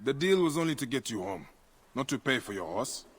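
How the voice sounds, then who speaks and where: A man's voice: mid-range voice, big pitch swings, fairly quick, slight background noise. A man playing a stern, dutiful warrior bodyguard of ancient Egypt in a game cutscene, curt, serious and brooding. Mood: wry. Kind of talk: dramatic game cutscene acting. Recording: loud music behind the voice.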